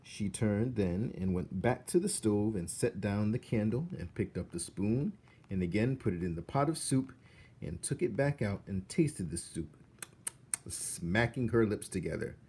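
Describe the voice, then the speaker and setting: Expressive, unhurried male storybook narrator. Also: fairly low pitch, slightly rough. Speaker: read-aloud narrator. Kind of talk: expressive children's book reading.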